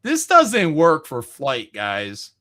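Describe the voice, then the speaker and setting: A man's voice: on the high side, huge pitch swings, takes it slowly, sharp tone. A male streamer chatting casually in reaction to game cut scenes, blunt, slangy and sceptical.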